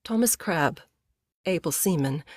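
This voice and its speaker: Lower-pitched woman narrating a novel. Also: expressive, a little slow, a little mellow, dry recording, clean studio sound. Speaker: narrator reading a novel aloud. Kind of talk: scripted audiobook-style narration.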